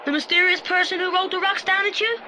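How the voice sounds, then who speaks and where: A man's voice: very high-pitched, little pitch movement, quick pace, sharp tone, heavily acted. A male actor playing an eager, inquisitive young boy detective in an audio drama. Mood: curious. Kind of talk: 1980s audio drama acting. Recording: noisy old audio play transfer.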